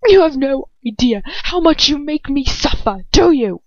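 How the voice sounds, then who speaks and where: A woman's voice: high voice, sing-song, unhurried, darkish tone, dry recording, pitched above the performer's own voice, exaggerated voice. A woman voicing a cruel, proud, hot-tempered aristocratic wizard, bitter and venomous, in a storybook reading. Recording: dull, lo-fi, dry home recording.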